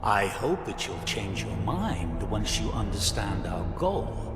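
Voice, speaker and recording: An actor playing a smug, persuasive, commanding cult leader in a video game, theatrical and condescending in manner. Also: male voice, lowish voice, big pitch swings, slow pace. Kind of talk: dramatic video game acting. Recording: echoey hall reverb, music behind.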